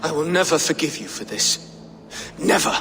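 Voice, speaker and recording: A man's voice: a little high, animated, normal speed, creaky, music in the background. A young man playing a bitter, insolent Saxon lord, sneering and accusatory, in a video game cutscene with hall reverb. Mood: outraged, wounded. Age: young adult. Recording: reverberant hall, background noise.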